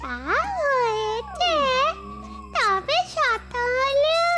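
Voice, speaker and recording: A woman's voice: extremely high pitch, expressive, very slow, full character voice. A woman voicing a vain, aging, food-loving ghost in a children's cartoon. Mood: vain, teasing. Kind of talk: children's cartoon dialogue and singing. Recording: loud music behind voice.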